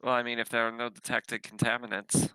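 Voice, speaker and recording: A man's voice: medium pitch, flat delivery, brisk, pushed and bright, smooth, dry recording, low-quality recording. A man playing a tabletop roleplaying game, talking casually in character as he leads a mission.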